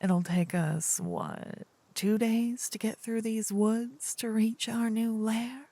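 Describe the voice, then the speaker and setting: A woman's voice: fairly high pitch, steady tone, warm tone, dry recording, heavily acted. A woman voicing a seductive, possessive demon queen in an intimate fantasy ASMR roleplay, often whispering, with teasing, smug menace. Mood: wry. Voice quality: breathy, husky, often whispering. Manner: slow, teasing, doting menace. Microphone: close, dry microphone.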